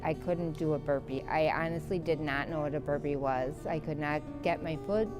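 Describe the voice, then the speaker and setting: A woman in her fifties speaks candidly and plainly in an interview testimonial. Her voice is low for a woman, and music plays clearly underneath her. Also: fairly even intonation, moderate pace. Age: 50s.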